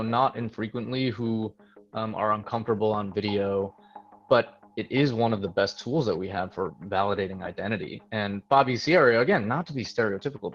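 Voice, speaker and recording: Man telling a story over a lo-fi line. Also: lowish voice. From New York area, USA. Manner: conversational, with frequent fillers and restarts. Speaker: interview guest, tech hiring manager. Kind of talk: casual storytelling interview.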